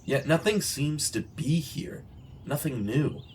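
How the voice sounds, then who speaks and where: An actor playing an earnest, righteous former journalist investigating a killing in a radio drama. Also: male voice, fairly high pitch, slow pace, a little hiss or hum. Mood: puzzled, brooding. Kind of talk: audio drama acting in vintage radio manner. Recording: music laid under the voice. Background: plucked guitar music.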